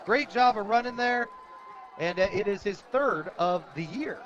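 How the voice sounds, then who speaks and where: An American man doing live radio play-by-play of a football game in an energetic, conversational way. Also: high-pitched, normal speed, dull audio. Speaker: radio play-by-play sports announcer. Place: outdoors at a high school football game. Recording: outdoor stadium broadcast with crowd noise. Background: crowd at a stadium.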